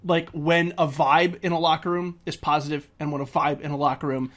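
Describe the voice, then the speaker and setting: A man's voice: on the high side, steady tone, fairly quick, fairly bright tone. A man co-hosting a casual discussion show, blunt and swearing freely, often restarting his sentences. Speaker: co-host.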